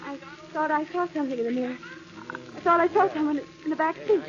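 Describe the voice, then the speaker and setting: High-pitched, fearful actress as a haunted wife. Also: female voice, quick pace, lightly acted. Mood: anxious dread. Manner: anxious, melodramatic. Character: frightened wife haunted by dread of a stranger. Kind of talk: 1940s radio suspense acting. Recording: dull old radio recording.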